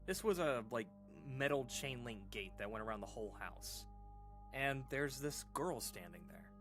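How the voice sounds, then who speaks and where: An actor plays a man sharing an unsettling memory from his childhood in an audio drama. His delivery is informal and hesitant, broken by pauses, yet his pitch swings widely around a medium register. Faint music plays behind him. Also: animated, a bit bright, close to an everyday voice. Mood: reminiscing. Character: uneasy man recounting an eerie childhood memory to listeners. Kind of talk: audio drama monologue, conversational horror storytelling.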